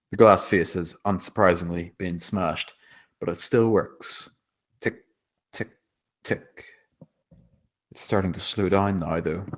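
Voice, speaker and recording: Deep-voiced man narrating a screenplay. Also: a bit bright. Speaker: stage-direction narrator.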